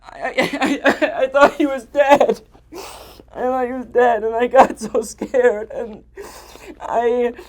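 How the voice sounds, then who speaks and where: An actor playing a distraught, guilt-ridden man on stage, confessing in halting, repetitive, stammered phrases in a live theatre monologue. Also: on the high side. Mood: panicked, guilt-stricken. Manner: halting, stammering, repeating himself.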